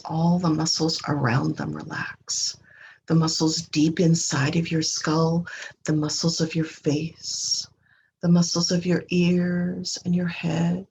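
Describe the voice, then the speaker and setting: A woman leading a guided meditation in a gentle, unhurried manner, leaving long pauses and trailing off breathily at the ends of phrases. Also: deep voice. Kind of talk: guided meditation, slow soothing instruction. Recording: dull lo-fi recording with clear background noise.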